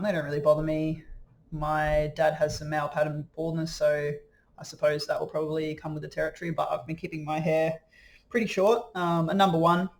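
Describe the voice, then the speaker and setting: A man in his late twenties talking casually in a video diary, easygoing and a little hesitant as he thinks aloud. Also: on the high side. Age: late 20s. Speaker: vlogger.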